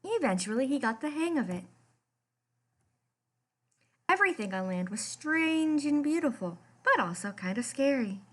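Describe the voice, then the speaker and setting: A woman reading a children's picture book aloud as expressive storytime narration. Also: sits high, moderate pace, long pauses.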